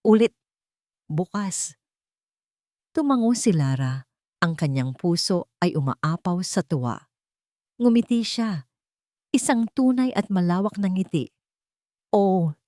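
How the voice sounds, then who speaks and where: A woman narrating a dramatic romance story in a soft, emotive way. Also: fairly low pitch, lively intonation, slow pace, pauses often, no room sound, studio quality.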